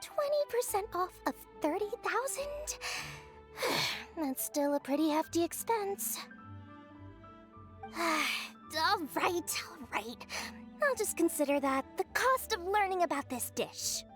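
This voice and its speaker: A dramatic young game merchant girl, high-voiced and very lively in pitch. She hesitates and frets like a thrifty, exasperated scholar over faint music. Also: slow, exaggerated voice. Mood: resigned. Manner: hesitant, sighing, theatrical. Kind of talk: video game cutscene acting. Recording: music behind the voice.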